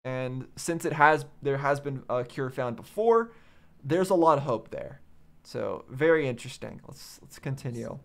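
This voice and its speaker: A man's voice: medium pitch, normal speed, gravelly. A man hosting a game reaction channel, thinking aloud in casual commentary and repeating phrases as he reasons.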